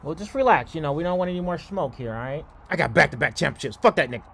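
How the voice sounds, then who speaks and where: A man's voice: on the high side, very fast, creaky, a bit bright, breathy edge. A man doing comic sports voiceover full of profane, exclamatory trash talk. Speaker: comedy voiceover creator.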